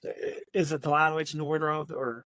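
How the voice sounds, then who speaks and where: A man's voice: a little high, steady tone, brisk, fairly bright tone, dry recording. A man co-hosting a podcast in a casual book discussion, hesitating and repeating words as he goes. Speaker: podcast co-host.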